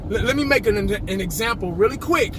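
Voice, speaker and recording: A passionate man ranting about his football team, with a slightly hoarse, scratchy voice, stumbling and repeating his words. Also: sits high, expressive, brisk. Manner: passionate, repeats words when starting sentences. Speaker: sports fan vlogger. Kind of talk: casual fan rant to camera.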